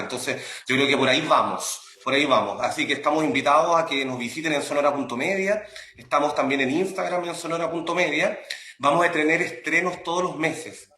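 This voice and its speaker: A man's voice: on the high side, pushed and bright, roomy sound. A man with a Chilean accent, explaining and promoting his work conversationally as an interview guest. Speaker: interview guest, academic and audio creator.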